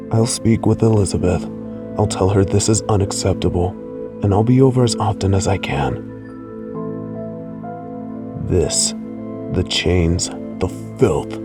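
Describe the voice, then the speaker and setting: A man playing a tender, guilt-torn lover in an intimate audio roleplay, speaking in a hushed, halting, soothing way. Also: on the low side, close to an everyday voice. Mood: indignant. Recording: music under the voice.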